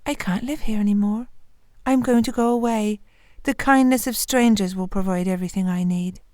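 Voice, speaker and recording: A woman voicing a gentle, resigned young maiden in a fairy tale, meek and sincere. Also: medium pitch, normal speed, no room sound, studio quality, pitched above the performer's own voice, close to an everyday voice. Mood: quietly resolved.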